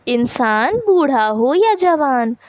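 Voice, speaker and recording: A woman's voice: sits high, sing-song, takes it slowly, no echo, lo-fi sound, exaggerated voice. A woman voicing a sweet, meek young Indian daughter-in-law in a Hindi cartoon story, speaking deferentially and kindly. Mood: gently earnest. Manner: soft-hearted, deferential.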